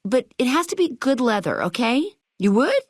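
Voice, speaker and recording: High, animated, perky shopkeeper gal. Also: female voice, moderate pace, husky, no echo, clean studio sound. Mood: bubbly, excited. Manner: bubbly, sassy, theatrical. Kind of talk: video game character dialogue with retro 1950s slang.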